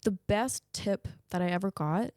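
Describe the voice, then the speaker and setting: A woman's voice: mid-range voice, normal speed, dry recording, clean studio sound. A young woman guest, a Danish native speaker, talking candidly and with animation in a casual interview. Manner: candid and animated, laughing often.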